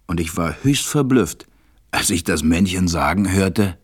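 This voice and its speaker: A man playing a serious stranded pilot who narrates his story in a measured, deliberate way in an audio drama. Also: sits low, lively intonation, slightly rough, dry recording, studio quality, exaggerated voice. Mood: reflective, wry. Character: stranded adult male pilot, serious narrator recalling events.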